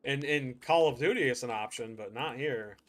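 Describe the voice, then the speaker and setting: A man's voice: medium pitch, normal speed, fairly bright tone. A man streaming a game, chatting casually and thinking aloud as he plays. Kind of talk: live gameplay commentary.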